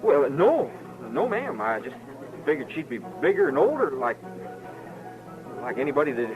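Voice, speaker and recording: A man's voice: medium pitch, big pitch swings, moderate pace, music in the background, natural voice. A man playing an earnest, polite young farm boy with a rural Western American accent in a 1950s radio western. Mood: flustered. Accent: rural American West. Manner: hesitant, folksy, respectful. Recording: muffled old radio broadcast, background bustle.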